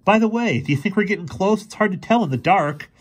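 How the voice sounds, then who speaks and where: A man's voice: high voice, lively intonation, quick pace, breathy edge, pitched above the performer's own voice. A man voicing a scrappy, sarcastic boy with a snappy, taunting way of talking in an audiobook. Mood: grumbling. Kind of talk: audiobook character voice.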